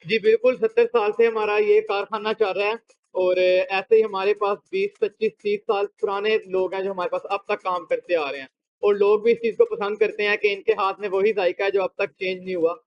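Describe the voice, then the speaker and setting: A man's voice: high voice, steady tone, fairly quick, slight background noise. A man, a sweet shop owner answering a reporter earnestly in his factory. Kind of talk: news interview answers. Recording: dull lo-fi field recording.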